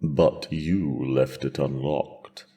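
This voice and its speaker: A man voicing a wise, steady dragon in a fantasy audio drama, speaking in a measured, gently probing way. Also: very deep voice, voice pushed down, heavily acted. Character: wise, steady male dragon, bonded to his rider.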